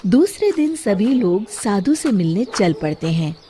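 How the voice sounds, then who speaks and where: A woman narrating a scripted children's story in a dramatic, expressive way. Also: medium pitch, measured pace, faint music behind.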